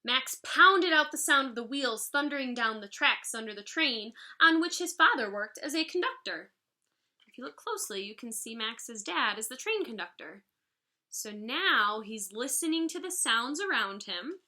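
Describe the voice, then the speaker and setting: A woman reads a children's picture book aloud in a fairly high, very bright voice. Her delivery is expressive and instructive, like a music teacher guiding young pupils through the story. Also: moderate pace. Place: living room at home, indoors. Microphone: device mic about arm's length away.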